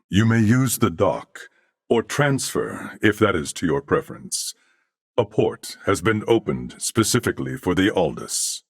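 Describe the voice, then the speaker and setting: A man voicing a courteous, thoughtful humanoid merged with machine intelligence in a video game, with formal, measured, earnest diction. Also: low-pitched, no echo, clean studio sound, exaggerated voice. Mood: calm, reassuring. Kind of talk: scripted video game character acting.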